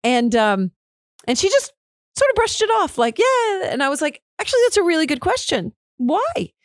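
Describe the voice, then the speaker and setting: A woman's voice: very high-pitched, very animated, moderate pace, dry recording, clean studio sound. A woman hosting a podcast, chatting casually, swearing now and then and acting out quoted lines in a livelier voice. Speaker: podcast host. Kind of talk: casual interview chat.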